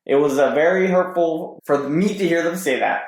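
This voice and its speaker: A man's voice: a little high, normal speed. A man guesting on a podcast, reading posts aloud and chatting casually with some hesitant stumbles. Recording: roomy echo.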